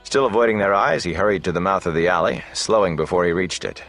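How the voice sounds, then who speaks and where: A man narrating a fantasy novel as an audiobook in a measured, dramatic way. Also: low-pitched, animated, creaky, a bit bright. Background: faint music.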